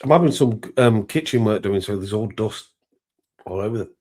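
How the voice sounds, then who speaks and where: A man's voice: fairly low pitch, animated, moderate pace, dark tone. A man chatting as a guest on a live show, rambling and restarting his sentences. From Scotland. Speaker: call-in guest. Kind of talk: casual chat.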